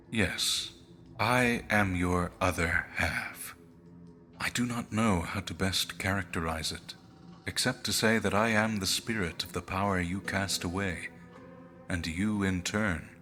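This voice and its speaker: A very deep-voiced spirit of cast-off power speaks with formal, measured detachment. The visual novel character is calm and clinical even about killing. Music plays clearly behind the words. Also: male voice. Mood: calm, explanatory.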